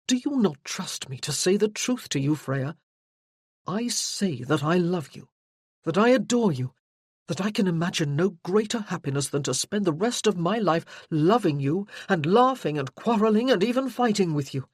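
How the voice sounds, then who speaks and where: A reader voicing a charming, teasing young gentleman from a Regency romance, earnest and insistent in his pleading. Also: sits high, lively intonation, normal speed, breathy edge, dry recording, studio quality.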